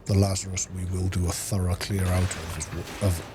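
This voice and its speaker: A man's voice: low-pitched, flat delivery, fairly quick, over music. A man giving casual gameplay commentary as a let's-play host, muttering to himself as he plays.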